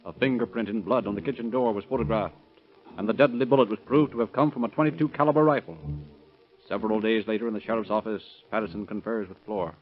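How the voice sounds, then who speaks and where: A man's voice: fairly low pitch, fairly even intonation, quick pace. A man narrating a scripted 1930s radio crime story in a formal, dramatic manner. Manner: formal, dramatic newsreel-like delivery. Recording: dull vintage radio recording. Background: loud-ish music.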